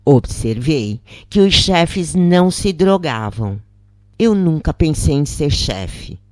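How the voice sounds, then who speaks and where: A woman with a Brazilian accent reading a scripted story in a measured, deliberate audiobook style. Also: sits low, lively intonation, darkish tone, no echo, studio quality. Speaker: channel narrator reading a book.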